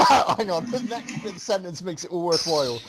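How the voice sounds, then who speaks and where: A man's voice: high-pitched, lively intonation, a little fast, heavy background noise. A man reading a story aloud casually as host, breaking off to make joking, mocking comments. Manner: breaks off to mock the writing.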